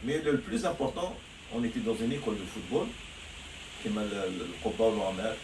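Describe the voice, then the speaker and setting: Fast-talking Algerian man, echoey and distant. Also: on the low side, big pitch swings. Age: middle-aged. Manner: rambling, many restarts and fillers. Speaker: football coach, interview guest. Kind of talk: casual interview, mixing Arabic and French. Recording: echoey, distant, noisy ambience.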